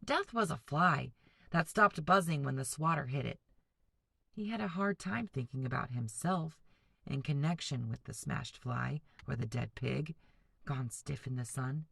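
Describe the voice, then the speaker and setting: A woman teacher reading a novel aloud to students, pausing to explain and ask them to picture things. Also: extremely low pitch, expressive, creaky, fairly bright tone, breathy edge, no room sound, studio quality. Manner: patient, explanatory, addresses students directly. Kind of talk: classroom read-aloud with discussion breaks.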